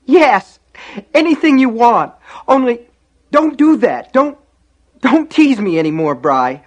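A man's voice: sits high, very slow, bright, forceful tone, voice pushed up, heavily acted. A man voicing a terrified little boy in a story, tearful and pleading in a whimpery, babbling way. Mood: desperate pleading.

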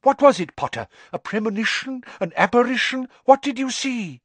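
A man voicing an excitable, theatrical woman professor of divination in a storybook, with a breathless, portentous manner. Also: medium pitch, moderate pace, dry recording. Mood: excited, eager. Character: dramatic middle-aged woman divination professor, mystical, excitable.

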